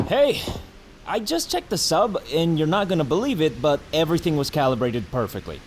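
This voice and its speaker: Man acting an eager, animated crew mate. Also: a little high, a little fast, noisy background, natural voice. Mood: upbeat. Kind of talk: audio drama acting.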